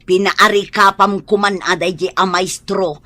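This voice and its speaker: Brief: stubborn, indignant mother, forceful sharp tone, family drama. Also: mid-range voice, fairly even intonation, unhurried. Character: stubborn, indignant parent of a failing student. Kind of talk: Ilocano family drama acting.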